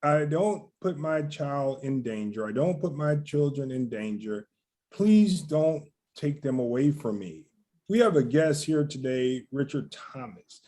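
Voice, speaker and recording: A man's voice: mid-range voice, expressive, measured pace, mellow. A man hosting a talk show, welcoming guests and breaking in with questions. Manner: interjecting, questioning. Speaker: host.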